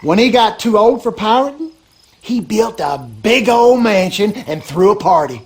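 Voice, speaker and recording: An actor playing a gossipy, superstitious backwoods husband in a video game, with a rural Louisiana accent and folksy, colloquial talk. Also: male voice, sits high, big pitch swings, a little slow. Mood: friendly, storytelling. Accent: rural Louisiana Southern American. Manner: folksy, drawling, colloquial storyteller. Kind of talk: animated game character dialogue.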